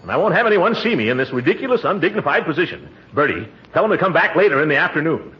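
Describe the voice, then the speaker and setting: An actor playing a pompous, easily flustered middle-aged American uncle in a 1940s radio comedy, full of stammering bluster. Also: male voice, a little high, big pitch swings, racing. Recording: muffled, noisy old radio recording.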